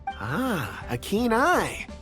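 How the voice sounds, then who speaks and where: Casting: easygoing, forgetful young game character, polite phrasing, very expressive intonation, music underneath. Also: male voice, sits high, extremely slow, exaggerated voice. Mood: impressed. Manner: polite, easygoing, slightly formal. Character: affable, absent-minded young man, a polite rock expert. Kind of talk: video game voice acting. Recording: music playing behind.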